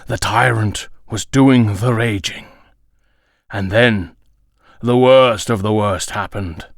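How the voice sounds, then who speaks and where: A man plays an elderly alien sage narrating grim legends in a comic dub. The voice is low and very slow, close and dry, with solemn, measured phrasing and dramatic pauses. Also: dry recording. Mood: grave, mournful. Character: solemn old alien storyteller telling children grim legends.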